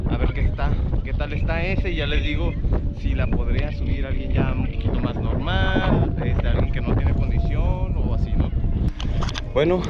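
A man in his thirties talking casually to camera as he climbs a trail, hesitantly searching for words. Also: mid-range voice. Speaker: vlogger. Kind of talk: casual hiking vlog to camera. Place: outdoors on an open hillside trail. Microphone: action camera held at arm's length. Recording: muffled outdoor mic, loud wind noise.

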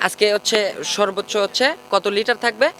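A man's voice: sits high, steady tone, fast, vocal fry, bright, forceful tone, a little hiss or hum, rough recording. A man with a Bangladeshi accent presenting and interviewing for a farming vlog. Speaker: farming channel host and interviewer. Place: outdoors at a dairy farm.

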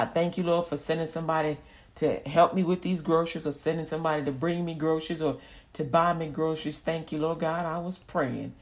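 A woman's voice: low-pitched, a little mellow. A woman preaching an informal radio sermon, folksy and repetitive, acting out little scenes as she goes.

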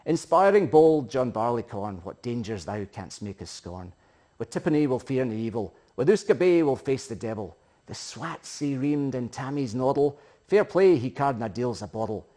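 A middle-aged man with a Scottish accent giving a theatrical, emphatic recitation of a narrative poem in Scots, swelling and hushing as he goes. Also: mid-range voice, lively intonation, normal speed. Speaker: poetry reciter. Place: living room, indoors.

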